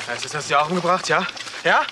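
A man plays an embittered young man in a crime radio play. His voice is fairly high and quick, pressing his accusations insistently over clear background noise. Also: natural voice. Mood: agitated.

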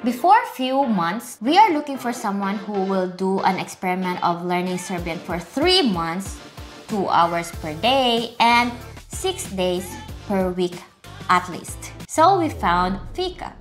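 A woman's voice: mid-range voice, big pitch swings, measured pace. Young woman explaining to camera over music. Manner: plain, earnest explaining in simple English. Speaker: host and language teacher. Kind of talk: presenting to camera. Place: small living room set with sofa. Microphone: clip-on lapel mic.

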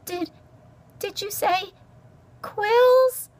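A woman's voice: animated, a little slow, gravelly, slight background noise, full character voice. A woman voicing a small, timid young female creature in a storybook, plaintive and pleading. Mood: frightened. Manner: high, plaintive, stammering pleas.

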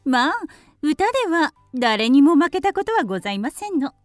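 High, lilting, ladylike canary. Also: big pitch swings, moderate pace, dry recording, soft background music. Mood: proud, genteel. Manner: polite, genteel, storytelling. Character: refined, ladylike female canary telling her life. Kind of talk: Japanese radio drama narration.

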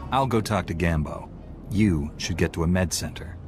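A man playing a calm, stern warrior-monk hero in a video game, measured and firm. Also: sits low, lightly acted. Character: calm, stern male Jedi knight hero. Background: loud music.

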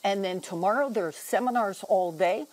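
A woman's voice: mid-range voice, moderate pace, a little mellow, no room sound, low-quality recording. An older woman, a guest cook, chatting while she demonstrates a recipe in a TV studio kitchen, with many ums as she explains. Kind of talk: cooking demonstration chat.

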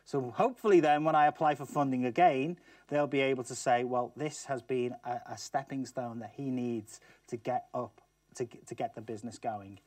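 A man's voice: mid-range voice, moderate pace, a little mellow, no room sound. Chatty Liverpool man, miked from afar. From Liverpool, England. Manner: chatty, asks the audience questions. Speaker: guest speaker. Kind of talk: talk to a student audience. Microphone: far camera mic, no mic seen. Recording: distant, dry studio sound.

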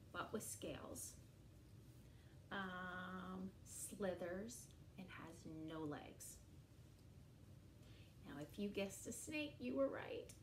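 A woman in her forties leads young children through an animal rhyme with puppets, speaking slowly and in an exaggerated sing-song way. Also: mid-range voice, roomy sound, a little hiss or hum. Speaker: early years educator. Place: small indoor room against a bare wall.

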